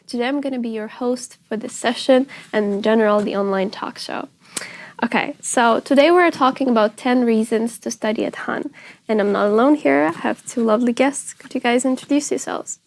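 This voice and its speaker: A woman's voice: fairly high pitch, brisk. A young woman, a university student, hosting a casual online talk show in an upbeat, encouraging way. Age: young adult.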